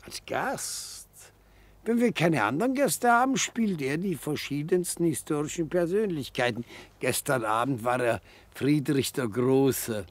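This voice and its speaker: An actor playing a genial, slyly coaxing old retired defence lawyer in a German radio play, with pompous, florid, old-fashioned phrasing. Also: male voice, on the high side, lively intonation, measured pace. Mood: matter-of-fact. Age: older.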